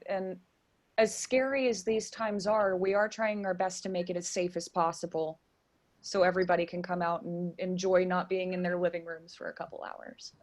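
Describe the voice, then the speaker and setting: A woman's voice: mid-range voice, a little mellow. A woman speaking casually and earnestly as a theater guest in an interview. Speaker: guest, stage director.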